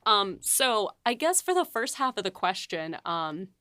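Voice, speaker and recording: A woman's voice: medium pitch, sing-song, unhurried, no room sound, studio quality. A woman writer on a podcast, talking thoughtfully and rambling a little about her writing. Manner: thoughtful, rambling, soft-spoken. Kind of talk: casual behind-the-scenes chat.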